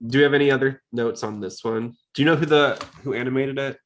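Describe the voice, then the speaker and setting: A man's voice: mid-range voice, fast talker, slight background noise. A man hosting a podcast in a casual, inquisitive, conversational way. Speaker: podcast host.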